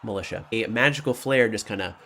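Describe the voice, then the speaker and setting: A man improvising tabletop game narration as game master, informal and halting in delivery. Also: lowish voice, creaky, a bit bright, slight background noise.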